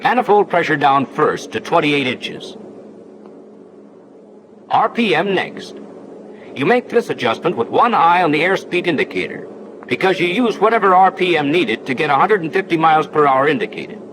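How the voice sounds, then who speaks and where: Folksy instructor-narrator, playful, animated pitch, 1940s film soundtrack, faint noise. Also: male voice, moderate pace, low-quality recording. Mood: instructive. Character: folksy, breezy male narrator-instructor of pilots.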